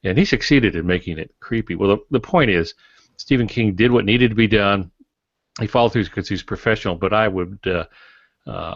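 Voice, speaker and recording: Low-voiced man in an echoey room. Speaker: podcast co-host and writer. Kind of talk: conversational explanation with anecdotes. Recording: dull, echoey room recording.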